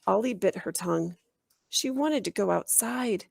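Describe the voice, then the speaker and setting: A woman reading a children's book aloud in an animated, expressive storytelling style. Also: medium pitch, unhurried, gravelly, breathy edge, no room sound, studio quality. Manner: animated, acts out dialogue.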